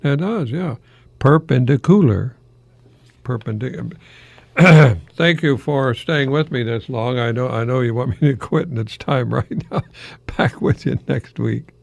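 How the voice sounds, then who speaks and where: An older American man hosting a folksy solo radio talk in a halting, rambling, easily amused manner. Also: mid-range voice, creaky, no room sound. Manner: chuckles often at his own remarks, halting and rambling. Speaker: radio host, longtime English professor.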